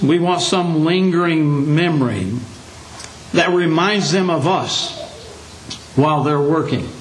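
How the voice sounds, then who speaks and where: An older man preaching a Sunday lesson, his voice hoarse and scratchy, speaking in a plain folksy way with a touch of humor. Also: fairly high pitch. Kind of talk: sermon. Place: church meeting room. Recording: echoey room.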